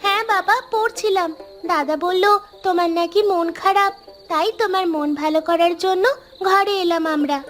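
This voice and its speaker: A woman's voice: very high-pitched, fairly even intonation, normal speed, fairly bright tone, soft background music. A woman voicing a sweet, caring young village daughter in a fairy-tale cartoon, tender and childlike. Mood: tender concern.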